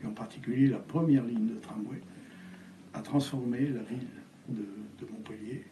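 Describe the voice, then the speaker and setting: An older man, originally from Algeria with Spanish as his first language, speaking haltingly with many fillers as an interview guest. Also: medium pitch, fairly even intonation, dark tone, echoey room, slight background noise, rough recording. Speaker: architect guest.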